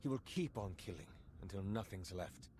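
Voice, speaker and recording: A man's voice: low-pitched, lively intonation, normal speed, a little mellow, a little hiss or hum. A man playing a stern, dutiful imperial intelligence agent in a video game. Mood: stern, businesslike.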